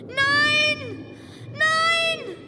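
High-voiced, anxious young boy. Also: very slow, heavy background noise, exaggerated voice. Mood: frightened, pleading. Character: anxious, pious young farm boy, narrating and pleading. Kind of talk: literary radio play, narration and dialogue. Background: music.

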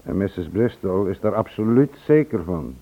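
An actor in a Dutch radio play, playing a respectful, careful and apologetic police sergeant. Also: male voice, sits low, animated, moderate pace. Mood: earnest, cautious. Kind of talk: Dutch radio drama acting. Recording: muffled lo-fi old radio recording.

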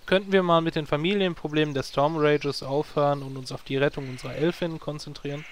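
A man's voice: a little high, steady tone, fast talker, close to an everyday voice. A man playing a sarcastic, exasperated druid in a German fantasy parody audio drama.

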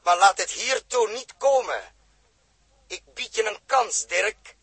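A stern spaceship captain, with a high, very husky and forceful voice. He gives clipped, theatrical orders in the manner of old 1960s radio drama, on a vintage recording. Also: animated, slow pace, exaggerated voice. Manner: commanding, clipped, theatrical delivery.

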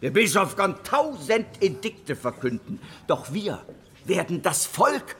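An impassioned religious leader of a rebel brotherhood in a historical radio play. His voice is high and swings widely as he argues like an orator, emphatic and persuasive. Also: sing-song, takes it slowly, a bit bright, exaggerated voice. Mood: firm, persuasive. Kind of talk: German historical radio drama acting.

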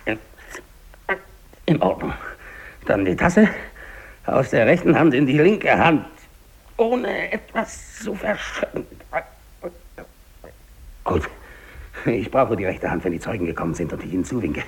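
A man's voice: medium pitch, big pitch swings, unhurried, gravelly, pauses often, breathy edge, heavily acted. A male actor playing a lone, dying English polar explorer in a 1950s German radio drama monologue, theatrical, defiant and despairing, moving between muttering and declaiming. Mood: strained, self-commanding.

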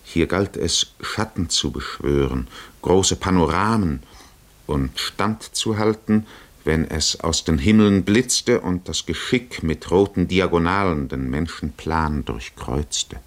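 A grave male storyteller narrates an epic in a 1950s radio play. His voice is low and he takes his time, very slow and measured, giving each image solemn, poetic weight. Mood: solemn, spellbound. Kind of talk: 1950s German radio drama narration, literary.

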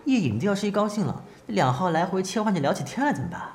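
A man plays an anxious, teasing young assistant in an audio drama. He chatters quickly with expressive pitch over noticeable background noise. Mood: worried. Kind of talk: Chinese audio drama acting.

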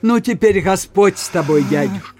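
An actress playing a brisk, insistent peasant servant woman in a radio play, with rustic folk speech. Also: fairly low pitch, animated, a little mellow, slightly rough. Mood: busy, coaxing. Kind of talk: Soviet radio theatre acting.

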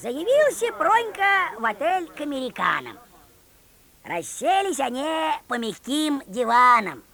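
An actress playing a folksy fairy-tale storyteller, narrating theatrically in rustic folk speech. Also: female voice, high voice, very animated, unhurried, crowd noise, heavily acted. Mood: folksy, lively.

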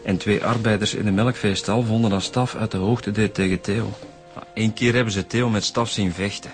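An actor playing a matter-of-fact detective with a Flemish accent, setting out evidence in a radio drama. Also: male voice, lowish voice, fairly even intonation, fairly quick, faint music behind, lightly acted.

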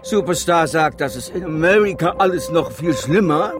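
A man's voice: on the high side, animated, normal speed, lightly acted. A man acting a glib, self-aggrandising agency boss in a satirical radio drama, talking in grand corporate rhetoric. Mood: slick, calculating. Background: music.

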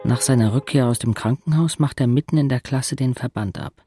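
A man's voice: medium pitch, a little fast, loud music behind the voice, close to an everyday voice. An actor playing a wry, observant teenage boy who narrates an audio drama in a dry, laconic way.

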